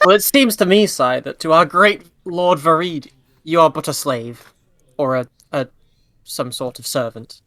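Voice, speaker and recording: Man acting a condescending cultist, grand, sly, bright voice, dry sound, tabletop roleplay. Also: a little high, measured pace, no room sound. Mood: haughty. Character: sly, bluffing male cult follower with haughty air.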